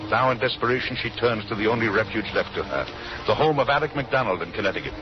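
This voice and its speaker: A man hosting and narrating a 1930s radio drama with measured, theatrical phrasing. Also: on the low side, animated, noisy background. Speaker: radio show host and narrator. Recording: muffled old radio recording, loud music.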